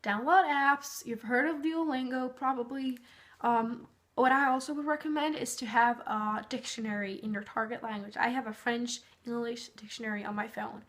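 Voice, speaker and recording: A woman's voice: on the high side, fairly even intonation, moderate pace, a bit bright. A young woman from Norway, a native Norwegian speaker, giving casual tips in a chatty vlog full of fillers. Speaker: vlogger.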